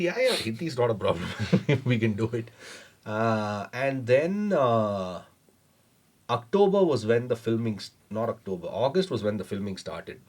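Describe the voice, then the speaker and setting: A man's voice: medium pitch, darkish tone. Man telling a story, full of fillers. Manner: measured, many fillers and hedges. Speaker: startup founder, podcast guest. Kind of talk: casual interview storytelling.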